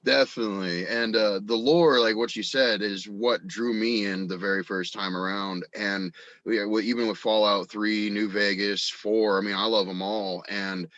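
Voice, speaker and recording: Deep-voiced man, dull dry recording. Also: steady tone, a bit bright, no room sound. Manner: halting, rambling, frequent verbal hedging. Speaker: guest, audio drama actor and writer. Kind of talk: casual interview chat.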